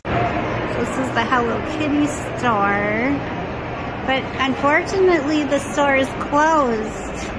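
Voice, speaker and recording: A woman's voice: sits high, slow pace, poor audio. A middle-aged woman filming a chatty shopping vlog on her phone in a large indoor mall, talking to her viewers. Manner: chatty and excited, addresses viewers. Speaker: vlogger. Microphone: phone at arm's length, selfie. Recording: background music over phone audio. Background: background music.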